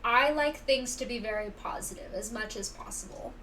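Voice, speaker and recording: A woman's voice: fairly high pitch, normal speed, bright, forceful tone. A woman chatting casually as she hosts a live game stream. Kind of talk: casual livestream chat. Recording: distant mic, faint background noise.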